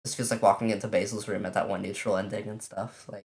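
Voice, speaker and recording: A let's player casually commenting on a visual novel and reading its text aloud, in an informal, halting way. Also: sits low, level tone. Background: game music.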